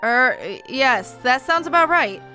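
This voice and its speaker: A woman voicing a brisk, officious fantasy-game ally in a formal, declamatory manner. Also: high voice, lively intonation, pushed and bright, soft background music, heavily acted. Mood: urgent, rallying.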